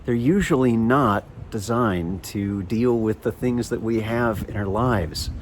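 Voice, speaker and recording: Male podcast host, low voice, soft measured delivery, faint background hiss. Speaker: podcast host, mindfulness teacher.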